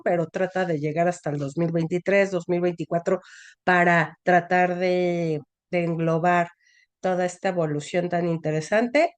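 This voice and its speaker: A woman moderates an academic online seminar. She speaks very slowly in a somewhat low voice, pausing often on "eh" and "este". The sound is dry and close, with no room echo. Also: darkish tone. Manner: unhurried, hesitant with "eh" and "este" fillers. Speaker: session moderator.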